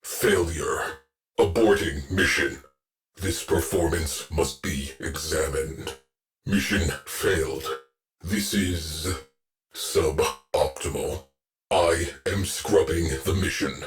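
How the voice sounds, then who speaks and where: A low, deadpan ship AI. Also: male voice, slow. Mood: grim, disapproving. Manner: clipped, deadpan, commanding. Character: terse, stern male shipboard AI, dry military efficiency. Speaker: ship AI giving mission alerts. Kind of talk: scripted game voice lines, clipped announcements.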